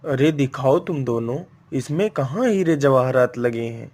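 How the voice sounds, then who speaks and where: A man's voice: mid-range voice, lively intonation, unhurried, a little mellow. A man voicing a stern, authoritative figure of wealth and rank in a Hindi moral-story cartoon, with a commanding manner. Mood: eager, demanding.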